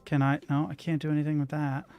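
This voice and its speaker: Brief: young male gamer, slightly high voice, mumbles his way through a puzzle. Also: flat delivery, quick pace, a little mellow, soft background music. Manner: thinking aloud, muttering to himself. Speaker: gaming streamer. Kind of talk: let's play commentary. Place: room at home with a window and a rattling door.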